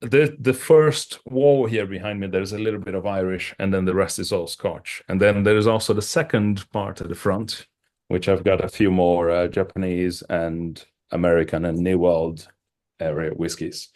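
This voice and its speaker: Very low-voiced Brazilian-born man explaining. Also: fairly even intonation, normal speed, a little mellow. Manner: thoughtful, with many fillers and restarts. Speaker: wine and whisky shop owner, guest. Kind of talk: interview answers, explaining at length.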